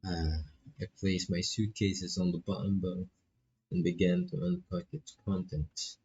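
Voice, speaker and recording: A man reading a visual novel's narration aloud as a streamer, with a gravelly, husky voice, often stumbling and correcting himself. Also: low voice, measured pace, a little mellow, muffled recording, a little hiss or hum. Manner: stumbles over words, repeats and corrects himself. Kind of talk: live read-aloud of narration and dialogue.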